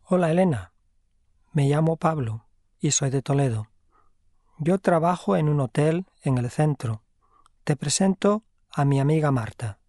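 A man's voice: fairly high pitch, animated, warm tone, no echo, studio quality. A friendly, helpful young man. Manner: clear, deliberate friendly delivery. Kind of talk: slow scripted dialogue for learners.

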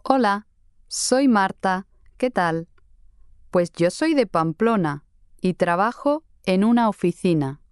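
An actress playing a friendly young woman in a slow, scripted dialogue for language learners. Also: mid-range voice, expressive, no echo, clean studio sound. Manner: clear, careful friendly delivery.

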